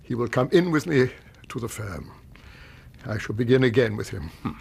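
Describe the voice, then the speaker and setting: Creaky older English gentleman. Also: medium pitch, lively intonation, moderate pace. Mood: grateful, humbled. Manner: formal, earnest, effusive. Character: older, respectable male Victorian banker, earnest, emotional father. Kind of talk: radio drama acting.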